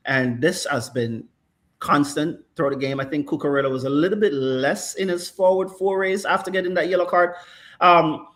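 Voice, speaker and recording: A man's voice: fairly high pitch, normal speed, fairly bright tone. A middle-aged man analysing football in casual chat, thoughtful and checking in with "right?" often. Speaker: football pundit.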